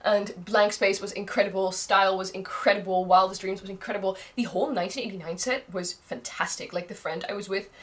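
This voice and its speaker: Young woman, Dutch native speaker, casual vlog chat, excitable, slightly strained voice. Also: lowish voice. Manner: gushing, excitable, laughs often. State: strained voice from screaming. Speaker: vlogger.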